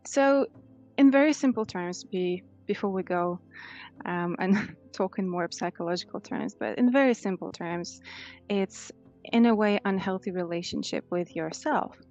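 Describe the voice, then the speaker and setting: A woman's voice: mid-range voice, normal speed, darkish tone, muffled recording, soft background music. A woman in her thirties with a Russian accent, answering conversationally as a life coach in an interview. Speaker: life coach, podcast guest.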